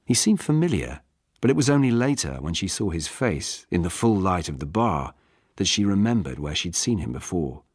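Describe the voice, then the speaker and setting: A British man narrates literary fiction in a very deep voice. His delivery is expressive, with lively swings in pitch, and the tone is husky. It is a scripted audiobook read. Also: moderate pace, no room sound, clean studio sound. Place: dry studio.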